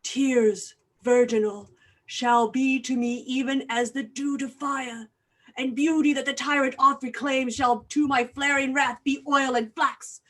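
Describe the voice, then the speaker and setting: Woman playing a stern, vengeful doctor, higher-pitched. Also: steady tone, measured pace. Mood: wrathful resolve. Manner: grave, declamatory, archaic diction. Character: stern, vengeful elder doctor hunting a killer in Shakespearean verse. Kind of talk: staged reading, Shakespearean declamation.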